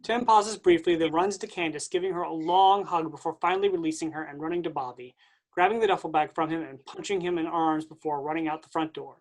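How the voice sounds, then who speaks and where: A woman's voice: low voice, fairly quick, low-quality recording. A woman reading stage directions as scripted narration for a staged play reading.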